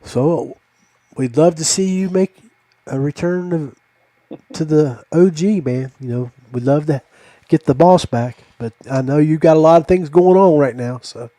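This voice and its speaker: Man, podcast host, soft mellow tone, dry close sound, folksy, casual, rambling, affectionate. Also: a little high, unhurried, no echo. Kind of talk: casual chat closing a fishing podcast interview.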